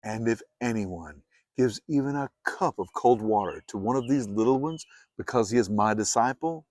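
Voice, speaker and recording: A man reading scripture aloud as a solemn audiobook, with deliberate, emphatic and measured phrasing. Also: medium pitch, lively intonation, darkish tone, dry recording. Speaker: Bible reader.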